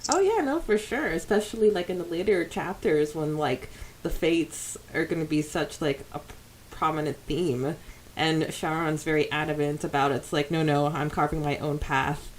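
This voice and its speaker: A woman's voice: low voice, normal speed, a little hiss or hum, low-quality recording. A woman co-hosting a podcast in a casual, giggly, slangy way, chatting and reading out fan comments. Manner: giggly, girlish slang with frequent "like". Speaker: podcast co-host.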